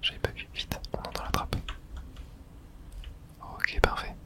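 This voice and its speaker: A woman giving casual, slangy ASMR gameplay commentary, reacting aloud to the game in whispers. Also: unhurried.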